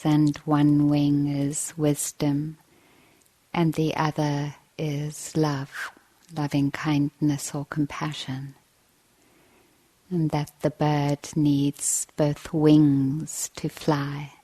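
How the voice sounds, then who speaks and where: A woman meditation teacher giving a guided dharma talk, gently and in measured short phrases with long pauses. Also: sits low.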